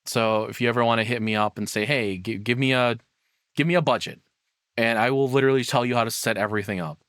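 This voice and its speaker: A man's voice: mid-range voice, steady tone, quick pace, fairly bright tone, smooth, dry recording, studio quality. A man streaming a casual, opinionated rant, rambling and self-deprecating as he chats with viewers. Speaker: streamer and VTuber host.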